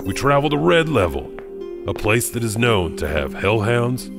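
A man's voice: medium pitch, sing-song, moderate pace, creaky. A man reading scripted storytelling narration as a narrator. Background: music.

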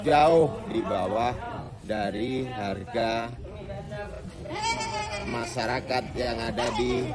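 A middle-aged man representing a company, giving a slow, measured and formal statement to a camera outdoors in front of a village building. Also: medium pitch, heavy background noise. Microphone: handheld camera at a few steps. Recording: outdoor camera audio under loud music. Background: loud music.

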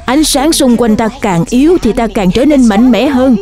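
A woman's voice: fairly high pitch, moderate pace, mellow, faint music behind, lightly acted. A woman voicing a boastful, menacing one-eyed monster in a dubbed children's cartoon, taunting its opponent. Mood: gloating.

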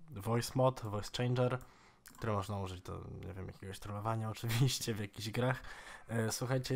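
A man in his twenties giving a casual, informal software tutorial in a slightly halting way. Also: fairly low pitch. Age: 20s. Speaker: tech tutorial host.

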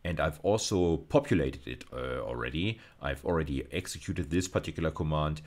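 Man, middle-aged, very low-pitched voice, university lecturer, explanatory delivery, rephrases key points. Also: normal speed, darkish tone. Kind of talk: video lecture with explanations of slides.

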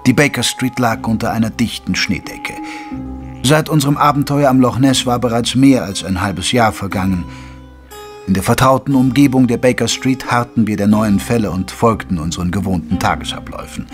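An actor playing an indignant, curious Victorian gentleman who narrates an audio drama in measured literary German prose. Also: medium pitch, over music, lightly acted. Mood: measured narrating.

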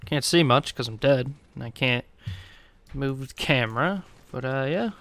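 A man's voice: mid-range voice, a little slow, very noisy. A man chatting casually while playing a role-play game, joking and trolling with plenty of slang and swearing. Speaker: gaming video creator playing online role-play.

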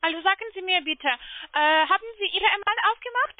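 A woman with a foreign accent talking as a call-centre agent, halting but insistent. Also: very high voice, animated, no room sound. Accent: foreign, non-German. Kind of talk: phone customer-service talk. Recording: tinny narrow-band phone line.

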